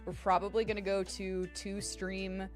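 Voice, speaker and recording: A woman's voice: mid-range voice, completely flat delivery, moderate pace. A young woman streamer chatting casually with her viewers, her voice strained and tired, rambling and swearing freely. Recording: faint music behind voice. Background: faint music.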